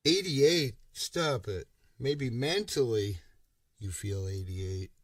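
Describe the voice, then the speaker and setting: A man's voice: medium pitch, expressive, no room sound, far from the mic. A man who is a guest on a livestream, chatting casually and teasing with profanity. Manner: teasing, profane, slow-spoken. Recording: dry voice, heard from off the mic.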